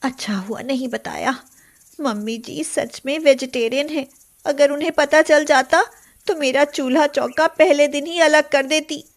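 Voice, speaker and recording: A woman's voice: high-pitched, measured pace. A woman playing a young, sly yet sweetly deferential daughter-in-law in an acted moral story. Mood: alarmed, muttering.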